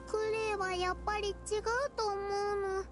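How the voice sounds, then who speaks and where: A woman's voice: very high voice, little pitch movement, a little fast. A woman voicing an innocent, earnest little girl with a sweet, childlike voice in an anime game. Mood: puzzled, upset. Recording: game music behind.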